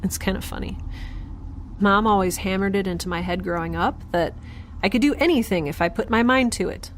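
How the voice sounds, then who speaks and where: A woman acts out a weary young female scientist adrift in space, keeping an audio diary for a science fiction drama. She talks in a medium-pitched voice, hesitant and confiding, with a wry edge to her overwhelmed temper. A noticeable bed of ambience runs behind her. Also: fairly quick, slight background noise, close to an everyday voice. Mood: apologetic, tired. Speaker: narrator and lead of an audio drama.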